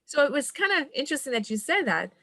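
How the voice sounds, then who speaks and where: A woman's voice: sits high, very animated, fast talker, a bit bright, no room sound, clean studio sound. A woman from Trinidad, a coach, speaking as a podcast guest in an earnest, reflective way and laughing often. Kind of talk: podcast conversation.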